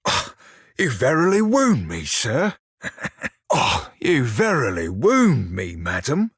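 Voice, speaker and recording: Roguish shiphunter, game character, rough husky voice, salty slang, cocky, dry studio sound. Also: male voice, medium pitch, wildly swooping pitch, takes it slowly, fairly bright tone, dry recording, full character voice. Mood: sly, teasing. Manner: salty archaic sailor slang, cocky and teasing. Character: swaggering, foul-mouthed blue-furred male pirate shiphunter, roguish. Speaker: video game companion character. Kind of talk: video game character dialogue and barks.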